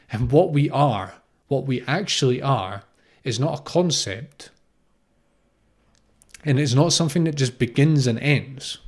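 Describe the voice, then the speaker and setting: A man in his thirties from Scotland gives an unscripted, informal spiritual talk, explaining reflectively and often repeating phrases or posing questions. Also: mid-range voice. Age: 30s. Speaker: spiritual teacher, podcast host.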